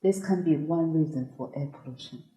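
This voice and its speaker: A woman leads a scripted student discussion, asking measured questions of the group. Her voice sits a little low and mellow. The room around her echoes noticeably.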